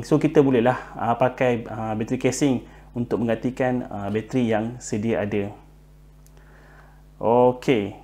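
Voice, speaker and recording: A man with a Malaysian accent presents an informal tutorial as a channel host, mixing in English words and often pausing on hesitant fillers. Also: medium pitch, a little mellow. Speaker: YouTube channel host giving buying tips.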